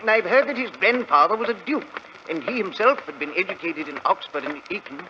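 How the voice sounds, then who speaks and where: A self-important older English bank president in a 1940s radio play. He is peevish and pompous, with a slightly high, very varied pitch. The dated recording is noticeably noisy. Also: animated, brisk, highs cut off. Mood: earnest. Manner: pompous, fussy. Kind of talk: 1940s radio drama acting.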